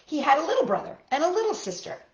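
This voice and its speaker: A high-voiced woman presents story time for young children. She is playful and expressive, speaking straight to her little listeners, in a roomy, echoing recording that sounds slightly dull. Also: quick pace. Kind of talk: children's story time with songs, read-aloud and chat.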